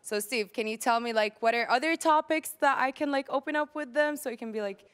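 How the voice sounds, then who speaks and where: A woman's voice: sits high, a little fast, a bit bright, clear, resonant tone. A young woman from Palestine in a studio audience, hesitantly asking a question into a handheld mic. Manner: hesitant, lots of fillers. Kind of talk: asking a question on a talk show.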